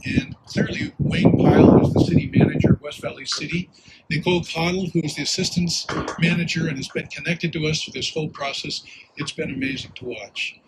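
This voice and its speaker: A man's voice: fairly high pitch, very noisy. A man giving prepared public remarks and thanking local officials, earnest, hesitating a little as he lists names.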